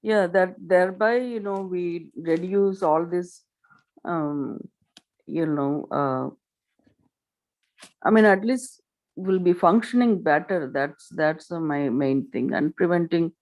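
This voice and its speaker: A woman with a low voice, a member of a study group, talking hesitantly over a video call. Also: slow, darkish tone, low-quality recording. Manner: hesitant, many fillers and restarts. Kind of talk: casual discussion.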